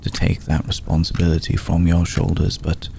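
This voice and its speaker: A man voicing an ancient, courtly, protective vampire lord in a hushed ASMR roleplay, speaking formally and with deliberate pauses. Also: sits low, monotone, creaky, slight background noise. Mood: gentle, earnest. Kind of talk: ASMR roleplay, slow intimate scripted monologue.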